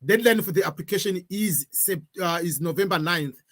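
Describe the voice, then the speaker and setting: A man's voice: high voice, normal speed, a bit bright. A middle-aged man, hosting a casual live question-and-answer stream from a room at home, talking hesitantly with many fillers and mixing English with Swahili. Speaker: livestream host answering viewer questions.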